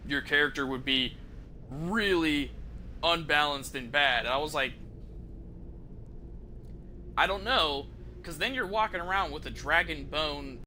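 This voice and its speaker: Very bright-voiced man, casual game streamer. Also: a little high, slight background noise. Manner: casual, profane, conversational. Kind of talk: casual gameplay commentary, rambling.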